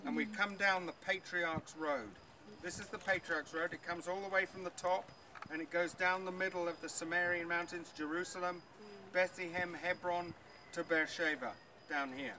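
A man's voice: high-pitched, steady tone, normal speed, crowd noise. Middle-aged man guiding a tour outdoors. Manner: chatty, many ums, quizzing the group. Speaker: tour guide. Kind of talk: outdoor guided tour talk. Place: outdoors by an old stone wall. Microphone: far camera. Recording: noisy outdoor recording.

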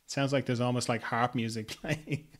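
An older man hosts a podcast over a video call. He asks gentle, slightly hesitant questions and reads out questions from listeners. He speaks through an earbud from a dim room at home. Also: mid-range voice, fairly even intonation, moderate pace. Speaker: podcast host. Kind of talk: casual video call interview.

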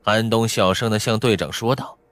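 A man's voice: lowish voice, steady tone, moderate pace, fairly bright tone, dry recording. A man narrating fiction from a script as a dramatic audiobook. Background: faint background music.

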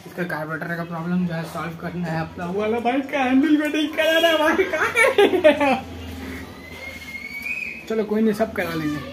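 A man, chatting casually and colloquially to viewers as a motovlogger, often repeating phrases. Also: high-pitched. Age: 20s. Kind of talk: casual chat to viewers, riding commentary. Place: outdoors on a moving motorbike, partly in a small shop. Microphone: action camera. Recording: noisy, with road and vehicle sounds.

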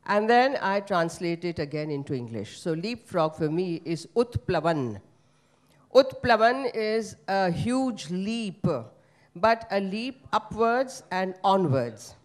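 A woman's voice: medium pitch, big pitch swings, slow. An older woman whose first language is Gujarati speaks into a handheld microphone in a conference hall, giving conversational panel remarks with many fillers. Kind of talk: panel remarks at a book launch.

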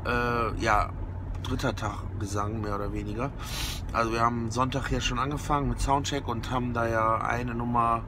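A man's voice: medium pitch, little pitch movement, moderate pace. A man in his forties with a slightly sore throat, talking casually to his phone from inside a car in a rambling way with many hesitations. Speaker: musician keeping a recording diary. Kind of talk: casual video diary. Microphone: phone camera at arm's length. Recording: phone in a car, audible road noise. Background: car and road noise.

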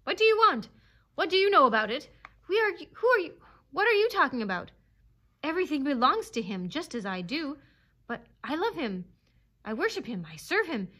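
A woman voicing a frenzied, devoted storybook dog that yelps and shouts insistently. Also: very high voice, very animated, slow, few pauses, pitched above the performer's own voice, heavily acted. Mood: fervent, indignant. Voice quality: rasping, yelping bark. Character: frenzied yapping dog, fanatically devoted to its master.

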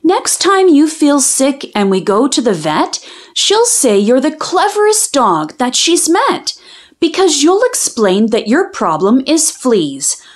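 A woman reading a children's picture book aloud in an expressive, sing-song storytelling manner, drawing out the rhyming lines. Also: high voice, takes it slowly.

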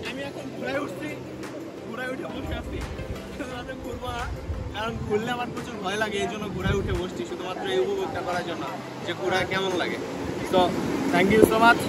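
A man's voice: high-pitched, steady tone, fast talker. Chatty young man vlogging from the surf. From Bangladesh. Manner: chatty, loose, with many fillers and English words mixed in. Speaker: travel vlogger. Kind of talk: casual travel vlog to camera. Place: outdoors in the sea and on a beach. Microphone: phone held at arm's length. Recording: windy beach noise and surf.

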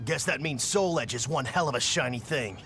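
An actor playing a brash, confident young pirate captain in a video game, with a swaggering delivery. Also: male voice, mid-range voice, expressive, fairly quick, sharp tone, slight background noise. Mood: dismissive. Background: music.

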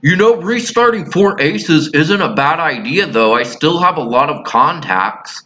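A man voicing a plain-spoken, middle-aged widowed husband in an amateur cartoon sitcom parody, with a dry, deadpan manner. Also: fairly high pitch, measured pace. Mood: dry, matter-of-fact.

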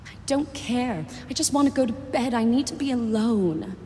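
A weary girl played by a woman speaks softly in a video game, as if worn out. Her voice is fairly high and mellow. It rings in a long reverb over faint music. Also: expressive, normal speed, slight background noise, natural voice. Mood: weary, withdrawn. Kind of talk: video game acting, echoing memory.

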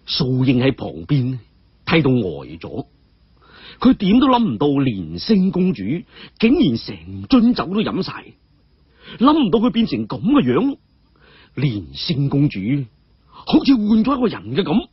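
A man with a Cantonese accent telling a martial-arts tale as an animated storyteller. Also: on the high side, measured pace, husky, no echo, lo-fi sound. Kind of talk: animated wuxia audio storytelling.

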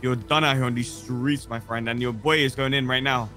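A man's voice: medium pitch, fairly even intonation, brisk, pushed and bright, soft background music. Taunting, profane man playing a cop. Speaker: roleplayer playing a police officer. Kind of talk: in-character game roleplay.

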